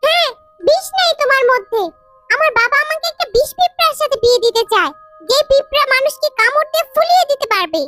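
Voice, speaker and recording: An anxious female ant sweetheart in a cartoon melodrama. Her lovelorn voice is extremely high and squeaky, set over children's music. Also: lively intonation, fast, sharp tone, heavily acted. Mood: pleading. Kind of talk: cartoon voice acting, romantic melodrama.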